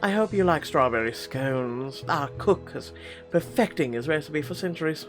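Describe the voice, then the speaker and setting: A man's voice: on the high side, animated, normal speed, over music, natural voice. A man reading the lines of a charming, courteous male fae prince in a story game, close to his own voice.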